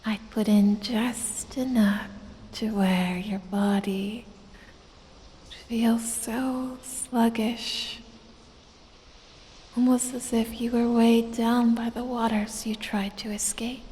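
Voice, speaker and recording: A very slow, breathy snake woman acted by a woman purrs close to her prey, sultry and gloating. Her tone is soft and dark, and it hangs in a long cavernous reverb over faint noise. It plays as an intimate, sinister ASMR fantasy roleplay. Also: a little high, steady tone. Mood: teasing threat. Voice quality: breathy, whispery. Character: evil, seductive female lamia, venomous snake woman toying with prey. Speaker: audio roleplay performer. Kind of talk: ASMR roleplay, addressing the listener.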